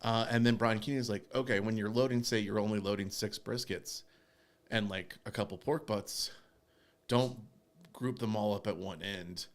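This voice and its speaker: A man's voice: fairly low pitch, fairly even intonation, normal speed. A man co-hosting a barbecue podcast, chatting casually and explaining step by step with frequent restarts. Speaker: podcast co-host. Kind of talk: casual chat.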